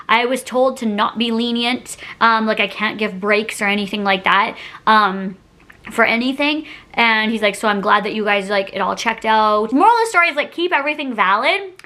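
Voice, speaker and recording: Bright-voiced woman telling a casual story. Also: a little high, moderate pace. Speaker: vlogger. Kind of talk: casual storytime chat to camera.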